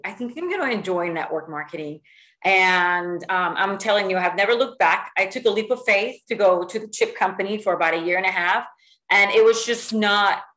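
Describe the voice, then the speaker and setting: A middle-aged woman from Puerto Rico giving a lively, motivational talk to her sales team over a video call, full of stories and fillers. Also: medium pitch. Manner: lively, animated storytelling with many fillers. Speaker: sales executive speaking to a team. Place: home room with bookshelves. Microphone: video call webcam.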